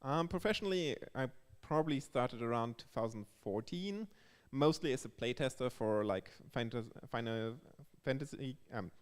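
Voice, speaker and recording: A man with a German accent answers interview questions in a casual way. His voice is medium in pitch, smooth and clear. It rings with the long echo of a large hall. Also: expressive, normal speed, few pauses. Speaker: guest, board game developer. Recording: echoey hall with long reverb.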